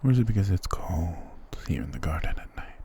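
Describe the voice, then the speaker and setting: A man playing a doting, possessive immortal vampire lord in an intimate ASMR romance roleplay, husky, tender and softly commanding. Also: big pitch swings, fairly quick, a little hiss or hum, heavily acted. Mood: teasing, intimate. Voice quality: breathy, husky low whispering.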